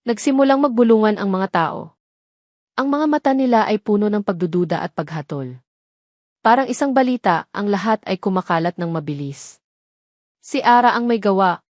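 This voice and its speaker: A woman's voice: medium pitch, expressive, normal speed, a little mellow, no room sound, clean studio sound. A woman reading a scripted story as a narrator, in a dramatic style.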